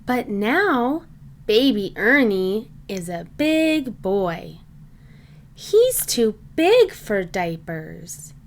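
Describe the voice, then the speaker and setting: A woman reading a children's storybook aloud in simple, deliberate phrases meant for young listeners. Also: mid-range voice, big pitch swings. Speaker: children's book reader.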